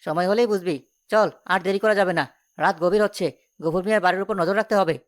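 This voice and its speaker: A woman's voice: medium pitch, fairly even intonation, fast, close to an everyday voice. A woman voicing a resolute young village man who leads his friends in a cartoon story, decisive and earnest. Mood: urgent, commanding.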